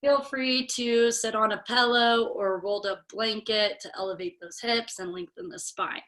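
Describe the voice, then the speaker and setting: A woman yoga instructor with a slight head cold, guiding a virtual class through breathing in a slow, soothing way. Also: fairly high pitch, steady tone, a bit bright, roomy sound, far from the mic.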